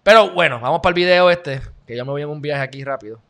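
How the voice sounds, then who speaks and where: Man, Puerto Rican accent, slightly high voice, chatty, joking asides, casual news talk. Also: quick pace, a bit bright. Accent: Puerto Rican Spanish. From Puerto Rico. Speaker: video host.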